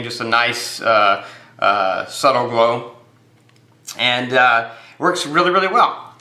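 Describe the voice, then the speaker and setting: An American man with a bright, forward voice at a medium pitch talks through a casual product review. He explains in an unscripted way, pausing and repeating words as he goes. The room adds a noticeable echo.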